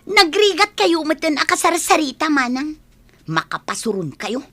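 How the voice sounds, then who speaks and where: A woman's voice: high-pitched, big pitch swings, normal speed, pushed and bright, full character voice. A woman playing a brash, evasive gambler who dodges her debts in an Ilocano radio comedy drama, dismissive, with an Ilocano accent. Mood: defiant.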